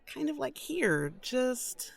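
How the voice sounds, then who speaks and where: A woman's voice: high voice, big pitch swings, slow, gravelly, heavily acted. A woman acting in an audio drama as a wary, weary former captive with a hesitant, guarded way of speaking. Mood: wistful.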